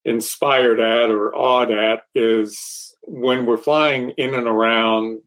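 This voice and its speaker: A man's voice: medium pitch, steady tone, a bit bright, rough recording. A man with a Texas accent co-hosting an aviation talk show, speaking casually with frequent fillers and restarts. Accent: Texan. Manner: halting, many fillers and restarts. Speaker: podcast co-host.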